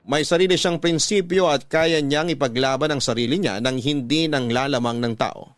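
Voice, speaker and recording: A man playing an earnest young father who tells his love story in measured, sentimental first-person radio drama narration. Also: on the high side, no room sound, clean studio sound, lightly acted. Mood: earnest, reflective.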